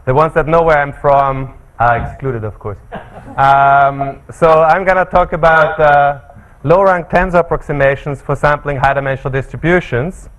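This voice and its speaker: A man's voice: fairly high pitch, fairly bright tone, muffled recording. Austrian man giving a seminar talk. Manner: measured, frequent fillers. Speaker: lecturer. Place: seminar room.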